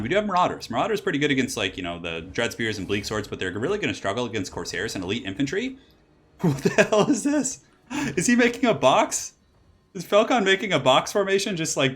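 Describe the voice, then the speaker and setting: A young man commentating a competitive strategy game on his own stream. His voice is slightly raspy, and he talks quickly in a loose, rambling way, breaking into excited exclamations at the plays. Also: mid-range voice. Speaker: esports caster and channel host.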